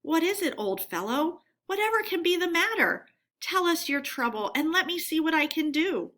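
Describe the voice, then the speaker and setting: Woman as a bright-voiced gentlemanly storybook rat. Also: very high voice, very animated, voice pushed up, exaggerated voice. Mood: sympathetic. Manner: brisk, reassuring, old-fashioned chummy talk. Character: kindly, hearty, resolute male water rat, old-chap gentleman.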